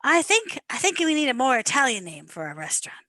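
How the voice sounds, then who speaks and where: Woman as high, bright, pestering mobster's sidekick. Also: big pitch swings, quick pace, dry recording, full character voice. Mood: insistent. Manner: chatty, insistent, wheedling. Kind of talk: amateur comic radio play.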